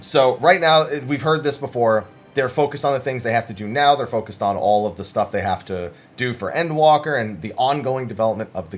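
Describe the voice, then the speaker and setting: A man hosting gaming news commentary, talking casually and emphatically as he sums up interviews in an informal, conversational way. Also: medium pitch.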